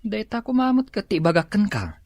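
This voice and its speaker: An actor with an Ilocano accent playing an easygoing, hardworking village husband and loyal friend in a radio drama. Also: male voice, high voice, very animated, moderate pace, darkish tone, no room sound, exaggerated voice. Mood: casual.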